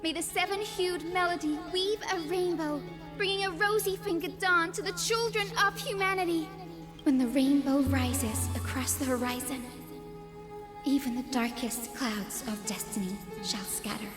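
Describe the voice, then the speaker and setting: A high, youthful fantasy game heroine, acted by a woman, speaks with gentle, lyrical earnestness. Music plays clearly behind the dramatic lines. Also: moderate pace, close to an everyday voice. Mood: solemn, hopeful.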